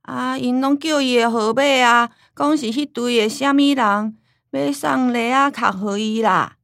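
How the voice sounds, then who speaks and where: A woman's voice: a little high, unhurried, vocal fry, fairly bright tone, no echo, clean studio sound. An actress voicing a forgetful, teasing old grandmother who grumbles and scolds in a radio drama. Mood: reminiscing. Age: older. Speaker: voice actor.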